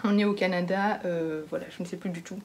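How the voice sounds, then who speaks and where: A woman vlogger talking casually about books she plans to read, often pausing on fillers and correcting herself. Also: on the low side, steady tone, darkish tone, slight background noise. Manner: hesitant, many fillers and self-corrections. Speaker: book vlogger. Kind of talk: casual book talk to camera.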